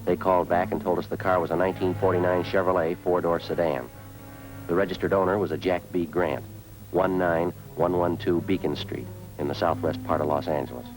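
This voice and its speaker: A man's voice: sits low, fairly even intonation, a little fast, close to an everyday voice, low-quality recording. An American man playing a terse, businesslike police sergeant in a clipped, matter-of-fact old radio crime drama. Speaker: lead actor and narrator. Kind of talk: 1940s-50s radio drama, clipped procedural narration. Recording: old radio recording with music behind.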